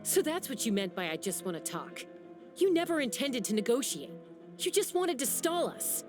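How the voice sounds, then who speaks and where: A bold young warrior woman with a high, animated voice that rises and falls and pushes back hard. She is defiant and sure of herself, the hero of a game cutscene. Music plays clearly behind her. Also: moderate pace. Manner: defiant, lively, confrontational.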